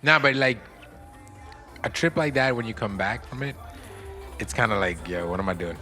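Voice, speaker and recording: A man's voice: mid-range voice, animated, normal speed, sharp tone, soft background music. A man from Trinidad, a podcast guest, telling travel stories in a laid-back, laughing way. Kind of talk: casual podcast chat.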